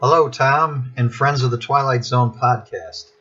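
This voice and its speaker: A man's voice: mid-range voice, measured pace, a bit bright, soft background music. An American man giving prepared, scripted commentary as a listener contributing to a podcast. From Buffalo, New York, US. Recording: dull, lo-fi recording.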